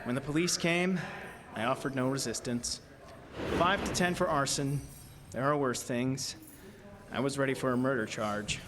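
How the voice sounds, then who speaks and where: Male actor as cold, patient convicted arsonist for horror audio drama; deliberate, understated, mid pitch, long reverb over music. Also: noisy background, natural voice. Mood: grim, cold. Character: grim, patient male ex-convict arsonist narrating his story.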